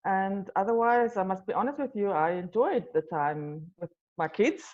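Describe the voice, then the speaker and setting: A woman's voice: medium pitch, animated, normal speed, narrow, tinny audio. A woman from South Africa, an Afrikaans native speaker with a South African accent, answering interview questions in a casual, hesitant way with many ums and restarts. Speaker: wine tour company founder, guest.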